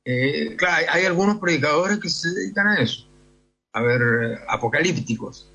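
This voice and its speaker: A man's voice: fairly high pitch, expressive, moderate pace, vocal fry, sharp tone, breathy edge, lo-fi sound. An older man with a Chilean accent, a priest answering conversationally in an interview and often seeking agreement with tag questions.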